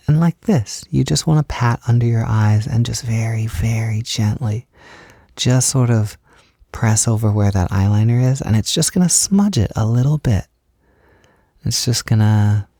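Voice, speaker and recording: Soft-spoken, mellow man with creaky voice. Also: mid-range voice, no echo, clean studio sound. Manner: gentle, reassuring, encouraging. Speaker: roleplay audio performer. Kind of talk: soft-spoken intimate roleplay, like a personal makeup tutorial.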